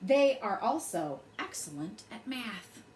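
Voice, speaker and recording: A woman reads a bedtime story aloud to children with expressive, dramatic phrasing. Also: medium pitch, slow pace. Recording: roomy echo, faint background noise.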